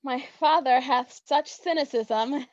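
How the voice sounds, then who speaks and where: A woman's voice: high-pitched, slow pace, darkish tone. A woman acting a carefree, teasing teenage girl bantering in Shakespearean style, on a dull lo-fi recording. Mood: flippant. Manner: playful archaic banter. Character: teasing, carefree teenage daughter, mischievous friend.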